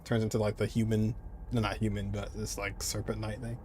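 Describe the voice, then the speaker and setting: Casual, low-pitched male game streamer. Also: flat delivery, fairly quick, background noise. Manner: rambling, repeats phrases, reads and answers chat. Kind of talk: casual live stream chat and game commentary.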